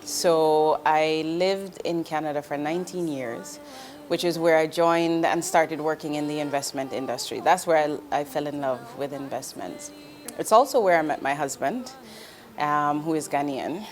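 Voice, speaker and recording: Earnest lowish-voiced woman from Jamaica. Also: normal speed, soft background music. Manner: earnest and direct, with frequent ums and restarts. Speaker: investment executive, interview guest. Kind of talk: candid interview answers.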